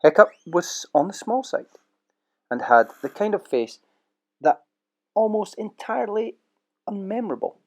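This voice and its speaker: A man narrating a children's storybook audio play with lively, expressive storytelling. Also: high voice, a little slow, long pauses.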